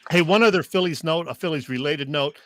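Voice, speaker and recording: A man's voice: fairly high pitch, a little fast, a bit bright, no echo. A man co-hosting a sports radio show, chatting casually and conversationally with a joking streak.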